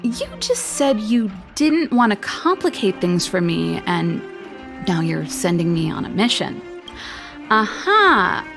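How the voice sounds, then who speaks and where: A woman's voice: medium pitch, very animated, slow pace, creaky, music in the background, heavily acted. A woman playing a breezy, confident older-sister mechanic in a video game, chatty and teasing with a nostalgic streak. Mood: teasing, resigned.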